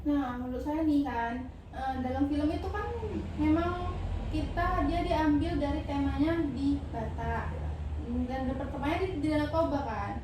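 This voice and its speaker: A woman's voice: sits high, measured pace. A young woman, chatting casually on a student panel and rambling with fillers. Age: young adult. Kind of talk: casual discussion. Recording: echoey room, distant mic, noisy.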